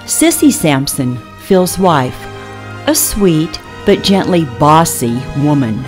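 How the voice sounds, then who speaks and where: Radio theatre narrator: female, low register, measured pace, scripted stage directions. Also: sing-song, faint music behind.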